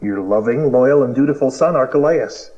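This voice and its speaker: An actor playing a callous, insincere prince in an audio Bible drama, with a flippant, petulant manner. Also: male voice, mid-range voice, normal speed, warm tone, dull audio, lightly acted. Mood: falsely dutiful. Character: callous, insincere prince awaiting his father's throne.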